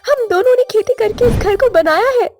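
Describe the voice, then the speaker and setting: A woman's voice: very high-pitched, fairly quick, darkish tone. A woman playing a dutiful, long-suffering young village wife in a cartoon moral story, speaking in an earnest, plaintive way. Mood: indignant. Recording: faint music behind the voice.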